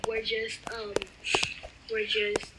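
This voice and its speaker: A young girl from California with a fairly high voice talks casually while playing a game. She rambles, restarts her sentences and often drops to a whisper. Loud noise sits under her voice. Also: monotone, slow. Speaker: gaming channel co-host. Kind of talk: casual gameplay chat. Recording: loud background noise over game audio.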